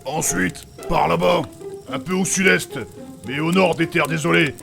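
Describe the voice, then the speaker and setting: Very gravelly, gruff fantasy tough guy played by a man. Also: a little high, fairly even intonation, unhurried, music in the background. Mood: boastful. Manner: blunt, mocking, vulgar. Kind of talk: French fantasy comedy audio drama.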